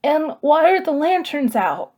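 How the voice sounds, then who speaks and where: A woman's voice: sits high, big pitch swings, a little slow, darkish tone, voice pushed up. A woman voicing a naive, eager and anxious boy in a storybook, with an insistent questioning manner. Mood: anxious, curious.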